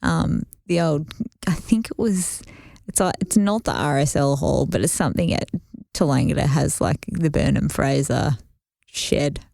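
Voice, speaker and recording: Low, gravelly woman chatting. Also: a little slow, warm tone, dry recording, clean studio sound. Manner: rambling anecdotal storytelling. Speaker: podcast co-host. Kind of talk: casual chat.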